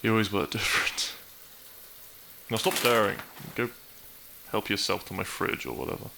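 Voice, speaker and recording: A man's voice: fairly low pitch, moderate pace, gravelly, noisy background. A man playing a depressed, dry-witted young man in an intimate audio roleplay, speaking with hesitant, awkward teasing to his best friend. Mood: flustered, tender.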